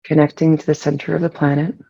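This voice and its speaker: A person leading a guided meditation in a soothing, unhurried way with long pauses, relaxed and gravelly with vocal fry. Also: medium pitch, muffled recording.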